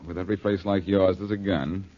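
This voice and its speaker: An American actor playing a wry, tough-minded adventurer in a 1940s radio crime drama, clipped and assertive. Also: male voice, low-pitched, fairly even intonation, brisk. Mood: wry, defiant. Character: wry, tough-minded male adventurer hero held at gunpoint. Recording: muffled 1940s radio recording.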